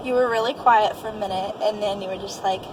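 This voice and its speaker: A woman's voice: medium pitch, steady tone, fast talker, background noise. A young adult woman telling her own story casually and candidly, in colloquial speech with quoted dialogue.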